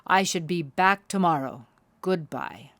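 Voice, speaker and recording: A woman's voice: on the low side, a little slow, a bit bright, no room sound. A woman voicing a wise old father owl in a storybook, stern and instructive, with emphatic delivery. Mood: hurried concern.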